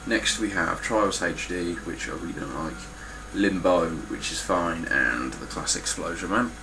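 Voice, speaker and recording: A man's voice: sits low, measured pace, gravelly, background noise. A man with a stuffy, nasal voice giving a casual, blunt spoken review of his game collection, with frequent ums and some swearing.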